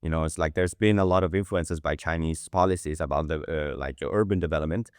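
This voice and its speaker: A man hosts a podcast in a relaxed, chatty way, peppering his speech with "like". His voice sits very low. The sound is dry and close to the mic. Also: fast talker, no echo. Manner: loose, lots of "like" fillers. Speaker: podcast host. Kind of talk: casual podcast chat.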